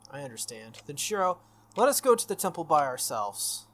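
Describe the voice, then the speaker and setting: A man voicing a formal, earnest young female knight from a visual novel, in stiff, measured phrasing. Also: on the high side, big pitch swings, fairly bright tone. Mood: resolute. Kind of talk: visual novel character read aloud.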